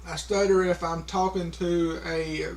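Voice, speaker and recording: A man's voice: high voice, little pitch movement. A man talking casually to a camera, with a heavy stutter, repeating sounds and stopping on words, with many fillers. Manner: slow, halting, many fillers. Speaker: vlogger.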